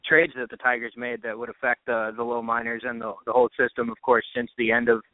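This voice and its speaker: A man's voice: medium pitch, level tone, quick pace, no room sound. A man on a tinny phone-like line, a sports announcer giving casual analysis as a podcast guest.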